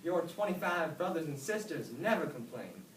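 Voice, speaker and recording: A man's voice: fairly high pitch, big pitch swings, moderate pace, voice pushed up. A man voicing a caring, soothing mother snail, heard from far off in an echoey room. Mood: motherly. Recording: echoey room, mic far off, faint hiss.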